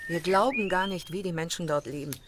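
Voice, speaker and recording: A woman's voice: fairly low pitch, brisk, lightly acted. Actress as a troubled mother amid birdsong. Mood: troubled, bitter. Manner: troubled, defensive. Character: anxious, bitter mother of a missing daughter. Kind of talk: crime audio drama dialogue. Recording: birdsong and noise behind. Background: chirping birds.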